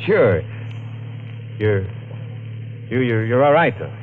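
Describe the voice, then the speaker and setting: An American actor playing a haunted, nervous killer at the wheel in a 1950s radio thriller, with halting, muttering speech. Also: male voice, medium pitch, sing-song. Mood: nervous, afraid. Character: haunted, nervous, guilt-ridden male driver turned killer. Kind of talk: 1950s radio thriller acting, part narration. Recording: noisy, tinny 1950s radio recording.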